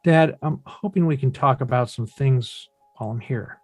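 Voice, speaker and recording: A man's voice: medium pitch, normal speed, slightly rough, no echo, studio quality, faint music behind, natural voice. Man playing an earnest, skeptical grown son. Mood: earnest, restrained. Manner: questioning, restrained, pointed. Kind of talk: dramatic script table read.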